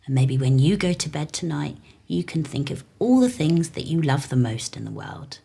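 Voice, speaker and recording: A woman reads a picture book to children as storytime host. Her voice is very deep for a woman, with a breathy, husky softness. She narrates gently and soothingly, keeping things calm. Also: moderate pace. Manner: soothing, soft-spoken, low-stimulating.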